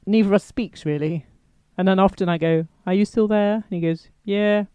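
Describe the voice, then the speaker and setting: A woman's voice: mid-range voice, normal speed, mellow, clear, resonant tone, no room sound. A woman co-hosting a comedy podcast, dry and understated in casual banter. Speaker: podcast co-host.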